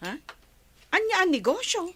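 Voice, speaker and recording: A woman's voice: very high-pitched, very animated, moderate pace, a bit bright, slight background noise, heavily acted. A woman playing a nosy, disapproving older sister in a radio comedy drama, speaking in a gossipy way. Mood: curious, gossipy.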